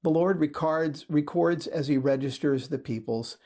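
A man's voice: on the high side, moderate pace, mellow. A man co-hosting a conversation podcast, reading a psalm aloud and then explaining it in an earnest, thoughtful way. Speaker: podcast co-host. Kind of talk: casual discussion, reading scripture aloud.

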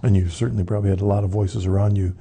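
A man's voice: fairly low pitch, fairly even intonation, very fast, gravelly, warm tone, slightly rough. A man interviewing a guest, offering brief affirmations and leading follow-up questions. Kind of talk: conversational interview prompts.

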